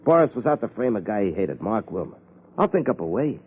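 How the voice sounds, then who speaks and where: An American actor playing a shady, scheming ex-boxer blackmailer in a 1950s radio crime drama, with a gruff, husky voice and slangy tough-guy talk. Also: lowish voice, sing-song, brisk. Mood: scheming, wheedling. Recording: muffled vintage radio recording.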